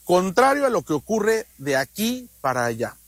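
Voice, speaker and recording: A man's voice: sits high, big pitch swings, moderate pace, a bit bright, no echo. A man with a Mexican accent talking conversationally as a radio host, explaining travel matters and repeating phrases for emphasis.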